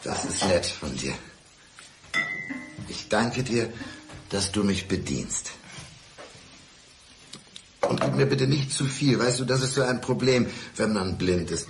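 An actor in a radio drama playing a lonely, blind middle-aged man, deliberate and polite yet needling. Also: on the low side, gravelly, noisy background. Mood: politely needling. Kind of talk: 1990s German radio drama acting.

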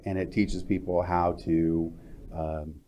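A man in his fifties hosting a casual studio interview, talking informally with halting phrasing. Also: low voice, little pitch movement, warm tone, slight background noise. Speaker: interviewer, co-presenter. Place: TV-style studio at a glass desk. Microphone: lapel mic.

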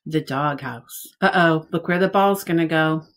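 A woman in her forties reading a picture book aloud to young children as a preschool teacher, with simple, expressive phrasing. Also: low voice, normal speed.

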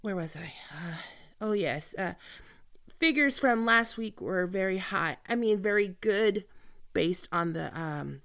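Flustered, creaky-voiced woman office boss, acted out by a woman. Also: mid-range voice, expressive, fairly bright tone. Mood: flustered, formal. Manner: halting, stammering, apologetic, often dropping to whispers. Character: flustered, smitten, awkward female office team leader. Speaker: voice actor in an audio roleplay. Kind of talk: ASMR roleplay acting, one-sided dialogue.

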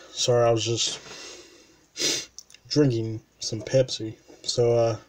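A man casually showing his custom toy figures, halting with fillers and restarts, sniffling with a dry mouth, recorded on a camera mic at his desk. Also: mid-range voice, flat delivery, darkish tone. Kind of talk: casual showcase narration.

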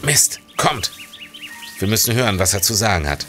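An actor playing a determined, brisk security policeman in a period audio drama. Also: lowish voice, sing-song, bright, forceful tone, soft background music. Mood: tense.

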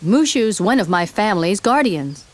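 A woman playing an earnest, uncertain young warrior in an animated game, polite and hesitant. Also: medium pitch, normal speed. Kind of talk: animated video game character acting.

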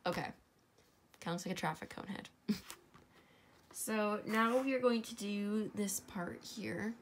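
Congested young woman with gravelly voice. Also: mid-range voice, slow, slight background noise. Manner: chatty, self-deprecating, often drops to a whisper. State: congested, sore throat. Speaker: crafting tutorial host. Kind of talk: casual step-by-step tutorial. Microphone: camera over a tabletop, hands only.

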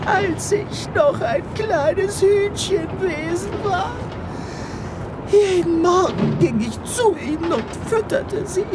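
A woman's voice: very high voice, expressive, takes it slowly, noisy background, exaggerated voice. A woman playing a passionate, sentimental chicken creature with rebellious ideals in a comic sci-fi radio play. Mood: wistful. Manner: melodramatic, impassioned.

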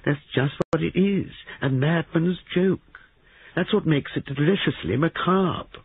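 A man's voice: a little high, unhurried, husky, no echo, narrow, tinny audio, pitched above the performer's own voice. A man voicing an impish, neurotic young man with a taunting, theatrical manner in a story reading. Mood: macabre delight.

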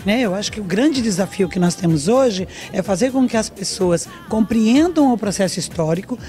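An older woman sociologist answering interview questions in a measured, lecturing way, into a handheld reporter mic in a large meeting hall. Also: medium pitch, mellow. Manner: measured, lecturing, frequent "né?" tags.

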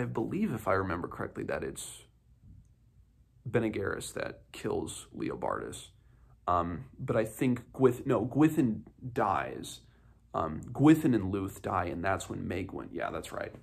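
Deep, gravelly young man, musing aloud. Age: 20s. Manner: musing, halting, thinking aloud. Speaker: book reviewer, host of a read-along. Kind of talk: casual, unscripted book discussion. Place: small home room, indoors.

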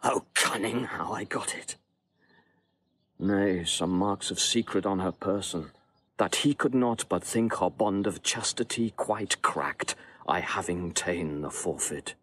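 An actor playing a sly, boastful Italian villain, theatrical and deliberate in a Shakespearean audio drama. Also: male voice, low-pitched, a bit bright, breathy edge, dry recording, heavily acted. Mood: sly confessing.

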